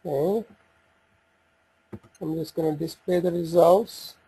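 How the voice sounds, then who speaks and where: A man with a slightly husky voice giving an unscripted software walkthrough, hesitant and thinking aloud as he goes. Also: a little high, steady tone, slow pace, a little hiss or hum. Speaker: software demonstrator. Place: voice-over on a screen recording.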